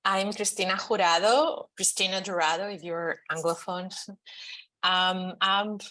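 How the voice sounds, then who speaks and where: A woman's voice: fairly low pitch, fairly even intonation, unhurried, pushed and bright. A woman from Spain with a Spanish accent, introducing herself as a writer on a panel.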